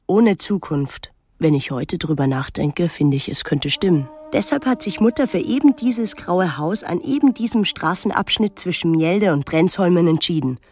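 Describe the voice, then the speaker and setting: A young woman tells her own story in a radio play. She is observant and reflective, measured and lyrical, with clear music underneath. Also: fairly low pitch. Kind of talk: literary first-person radio drama narration.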